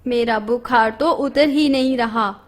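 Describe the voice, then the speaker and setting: A woman's voice: on the high side, unhurried, soft background music, natural voice. A woman voicing a sick village mother who gives weary instructions.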